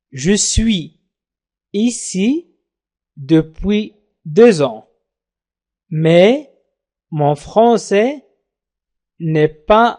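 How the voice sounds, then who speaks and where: Slow, patient language teacher, a man. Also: a little high, darkish tone. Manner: slow, careful repetition of French phrases with Arabic glosses. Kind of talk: French lesson explained in Arabic.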